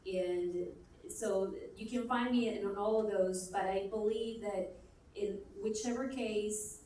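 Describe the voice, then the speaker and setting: A woman's voice: mid-range voice, slow pace, dark tone. A woman from Colombia speaks on a business panel, thinking aloud and restarting her sentences as she goes. Her voice carries through a big, echoing hall, picked up from some distance, with a faint hum of room noise behind. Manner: thoughtful, hesitant restarts. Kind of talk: panel discussion answer. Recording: echoey hall, mic far off.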